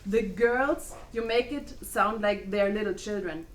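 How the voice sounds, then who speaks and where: A woman acting a snobbish, fiery pregnant Cuban wife in a dramatic play reading, expressive, with Spanish exclamations mixed in. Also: mid-range voice, a little slow, natural voice. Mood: indignant. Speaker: student actor. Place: indoor room, live play reading. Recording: echoey room with faint background noise.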